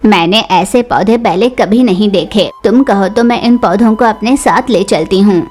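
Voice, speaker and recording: A woman's voice: medium pitch, normal speed, darkish tone, faint music behind, natural voice. A woman voicing an accommodating, curious female crow shopkeeper with a puzzled, questioning manner in a cartoon story.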